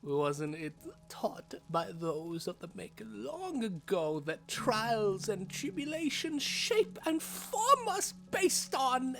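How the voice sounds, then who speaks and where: A woman voicing a sly creature of the night in a fantasy audio roleplay, theatrical and mockingly reverent, dropping into breathy tones. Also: a little high, a bit bright, exaggerated voice.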